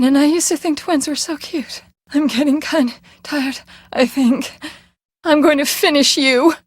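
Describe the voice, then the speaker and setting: A woman's voice: high voice, dry recording, studio quality. A woman voice actor playing a haughty, hot-tempered, eccentric woman with a melodramatic delivery in a video game.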